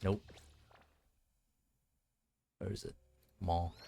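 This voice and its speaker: A man's voice: deep voice, little pitch movement, very slow, gravelly, warm tone, soft background music. A man streaming a game with casual solo commentary, often muttering to himself and casually swearing.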